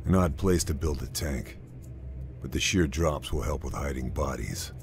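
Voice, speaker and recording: An actor playing a stoic wartime sniper, narrating a video game cutscene in a terse, serious, measured manner. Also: male voice, a bass voice, gravelly.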